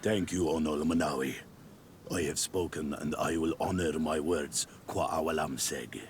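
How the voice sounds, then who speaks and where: An actor playing a proud native raider in a fantasy game, speaking solemnly and formally. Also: male voice, extremely low pitch, moderate pace, noisy background. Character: defeated, proud native male raider bound by honor. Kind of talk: scripted game cutscene acting.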